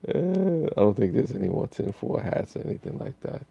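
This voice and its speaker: Raspy, deep-voiced man, tired and rambling. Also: no room sound, dull audio. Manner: laid-back, rambling, many fillers. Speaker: live stream host reading chat. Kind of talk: casual live stream chat.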